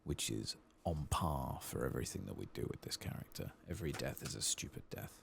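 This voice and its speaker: A man giving soft-spoken ASMR game commentary, sometimes dropping to a whisper, with a dry, self-deprecating way of murmuring to himself. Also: rumbling low, expressive, brisk, vocal fry, background noise. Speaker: host of a gaming ASMR channel.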